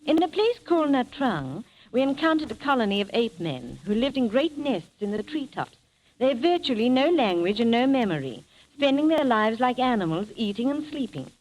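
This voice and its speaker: A woman with a fairly high, very lively voice recounts her travels on a 1930s radio show. The delivery is formal and polished, clearly scripted, with faint noise on the old recording. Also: normal speed, rough recording. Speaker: guest traveller and film-maker.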